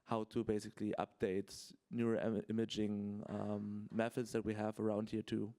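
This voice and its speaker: A man with a mellow voice speaks carefully, sometimes restarting a word as he describes his doctoral work. He is giving a brief conference introduction. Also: on the low side, completely flat delivery, moderate pace. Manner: careful, searching for words. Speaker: PhD student.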